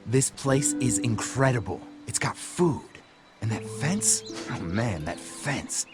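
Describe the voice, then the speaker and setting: An actor playing an earnest, cautiously hopeful American survivor in a video game, talking casually. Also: male voice, medium pitch, expressive, slow. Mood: impressed. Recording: game soundtrack, music and birds behind.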